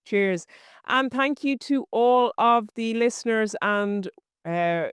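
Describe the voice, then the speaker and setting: A woman hosting an interview podcast, encouraging and warm, often repeating words as she finds her phrasing. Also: fairly high pitch, slow, clear, resonant tone, dry recording, studio quality. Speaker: podcast host.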